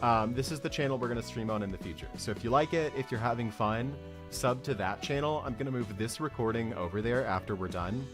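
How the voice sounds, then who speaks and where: A man's voice: mid-range voice, fairly quick, music in the background. A man hosting a stream read-along in casual, informal chat. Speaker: stream host and narrator.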